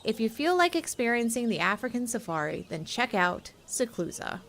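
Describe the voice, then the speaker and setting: A woman's voice: a little high, very animated, normal speed, fairly bright tone, slight background noise. A woman co-hosting a games show, moving between casual chat and scripted review narration. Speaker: show co-host and game reviewer.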